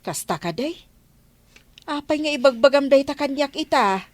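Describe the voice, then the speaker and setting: Wary village storekeeper and gossip played by a woman, Ilocano accent, higher register, radio comedy. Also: animated, moderate pace, no echo. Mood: defensive.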